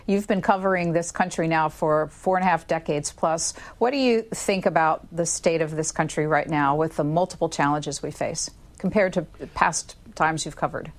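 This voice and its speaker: A woman with an American accent puts questions in a measured broadcast interview style. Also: fairly low pitch.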